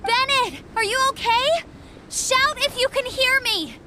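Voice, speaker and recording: A woman voicing a bold young female adventurer in a game, brisk and encouraging. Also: very high-pitched, animated, very noisy. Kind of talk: game cutscene voice acting. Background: game music.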